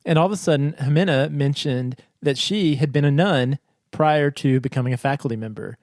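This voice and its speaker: A man co-hosting a podcast, chatting casually and telling a relaxed, self-deprecating story. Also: on the high side, fairly quick, no echo, studio quality. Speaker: podcast co-host.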